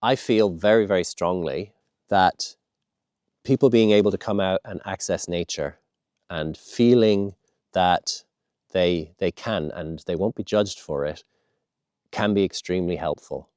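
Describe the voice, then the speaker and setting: A man with a very low voice speaks in an earnest, measured way, as in a reflective vlog. He sounds a little nasal from a head cold, and the sound is dry and close, with no room echo. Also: a little mellow, smooth. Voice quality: slightly nasal, bunged up. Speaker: vlogger. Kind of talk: reflective outdoor vlog talk to camera.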